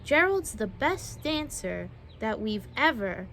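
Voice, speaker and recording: A woman voicing a crowd of jungle animals in a storybook, sneering and shouting. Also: fairly high pitch, slow, slight background noise, heavily acted. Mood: amazed. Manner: sneering, then excited shouting. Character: crowd of jungle animals, mocking then amazed.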